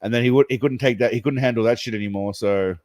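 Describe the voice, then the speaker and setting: A man's voice: medium pitch, quick pace, a little mellow, no echo, studio quality. A man hosting a reaction show, delivering crude, sarcastic commentary full of profanity and mockery. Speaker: host reacting to clips.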